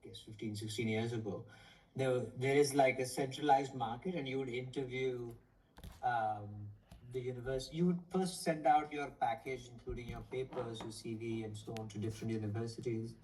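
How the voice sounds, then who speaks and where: A man in his forties, an economics professor, answering interview questions thoughtfully over a video call with frequent pauses and fillers. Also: mid-range voice, steady tone, darkish tone. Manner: thoughtful, hesitant with many fillers. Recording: distant from the mic, faint noise.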